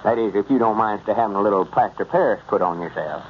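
A man's voice: lowish voice, fast, slight background noise, natural voice. A man playing a boastful, scheming rural storekeeper with a self-important country drawl, in a 1930s radio comedy. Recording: muffled old radio transcription.

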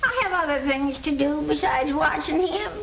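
Cranky older landlady, high voice, curt, echoey old movie sound. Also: moderate pace, a bit bright. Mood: testy. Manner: indignant, curt. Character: testy, suspicious older landlady. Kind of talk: 1930s film acting. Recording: distant, echoey, noisy old film sound.

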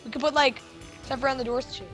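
A woman's voice: high-pitched, fairly even intonation, fast, fairly bright tone, soft background music. Cheeky teenage girl chatting over game. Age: teens. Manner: cheeky, teasing. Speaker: gamer. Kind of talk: casual chat while playing.

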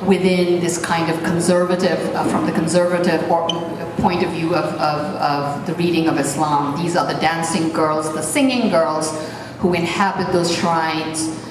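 Middle aged woman on stage, slow and halting, heard from far back in a big roomy hall. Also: deep voice, steady tone, background noise. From Pakistan. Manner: slow, hesitant, many fillers. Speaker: poet and performer. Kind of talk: spoken introduction at a concert. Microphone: stand mic, recorded from far back in the audience. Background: live band and audience.

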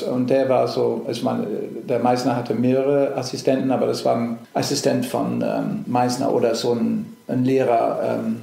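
A middle-aged man from England whose native tongue is English talks in a relaxed, hesitant way, full of fillers and restarts. His voice has a gravelly, fry-edged texture, and the room around him rings with echo. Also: mid-range voice. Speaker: actor, podcast guest. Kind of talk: casual interview chat.

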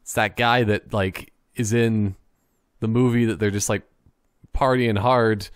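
A man with a fairly low voice gives casual gaming commentary. He rambles and jokes, often halting mid-thought. The sound is dry, with little room echo. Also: fairly even intonation, smooth. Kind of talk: casual joking gameplay commentary.

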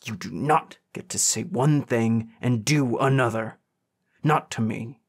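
A man's voice: medium pitch, unhurried, breathy edge. A man voicing an angry, hardened teenage boy, bitter and wounded, with a growling, demanding delivery in an audiobook. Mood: fierce, hurt.

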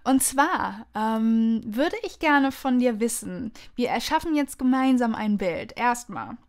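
A woman's voice: a little high, expressive, slow. A woman hosting a podcast interview in a conversational, effusive and enthusiastic way. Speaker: podcast host. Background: background music.

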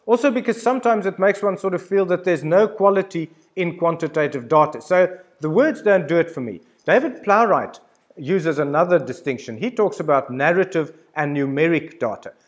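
Older man lecturing, high-pitched and lively. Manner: emphatic, conversational, direct address to the listener. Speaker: university lecturer. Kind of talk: informal instructional lecture with storytelling examples. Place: indoor studio with plain backdrop.

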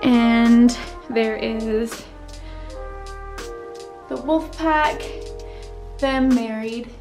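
A woman in her twenties, talking casually and excitedly to a handheld camera while touring a rental house. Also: fairly high pitch, drawn-out words, music in the background. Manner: bubbly, excited, filler-heavy. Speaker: travel vlogger. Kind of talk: casual vlog chat. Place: rental house indoors and forest outdoors. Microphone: handheld camera at arm's length.

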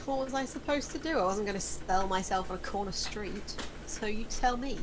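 A woman's voice: mid-range voice, moderate pace, lightly acted. Female reader as young woman, weary and defiant, bitter edge; audible background noise. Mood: weary, defensive. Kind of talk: script table read.

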